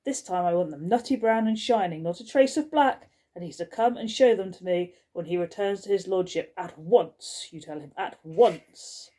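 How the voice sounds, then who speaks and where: A woman voicing a pompous, furious older woman who runs a hotel's staff, scolding in slow, drawn-out indignation. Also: lowish voice, warm tone, natural voice. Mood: fuming.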